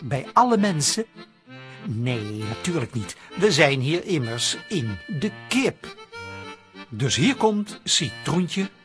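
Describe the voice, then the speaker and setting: A wry storyteller introduces a radio pub comedy. He speaks slowly with very lively pitch over clear accordion music. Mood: wry, measured. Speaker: narrator. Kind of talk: scripted radio drama narration. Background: accordion and harmonica music.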